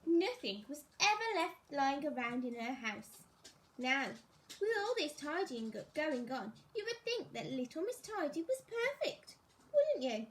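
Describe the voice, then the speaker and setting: A woman teacher reading a children's story aloud, expressively and deliberately, as if to young pupils. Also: high voice, big pitch swings, fairly bright tone, a little hiss or hum, rough recording.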